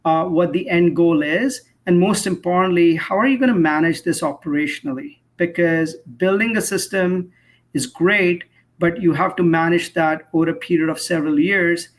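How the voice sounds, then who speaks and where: A man speaking as an expert interview guest, explaining in an articulate, measured way and posing rhetorical questions. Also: on the high side. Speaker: cybersecurity professional, interview guest.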